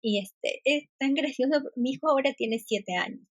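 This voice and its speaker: A woman's voice: high-pitched, normal speed, no room sound. A woman podcast host telling an anecdote in a casual, amused way.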